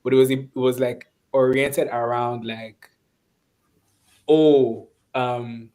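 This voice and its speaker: A Nigerian man speaking reflectively and conversationally in an online webinar, often pausing and restarting as he searches for words. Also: medium pitch, little pitch movement, warm tone. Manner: thoughtful, halting, searching for words. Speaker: webinar speaker, course instructor.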